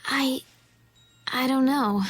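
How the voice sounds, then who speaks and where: A gentle young woman healer from a game, fairly high and very breathy. She speaks softly and hesitantly, with thoughtful sincerity. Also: fairly even intonation, takes it slowly, no room sound. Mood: reflective, sincere. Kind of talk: video game dub acting.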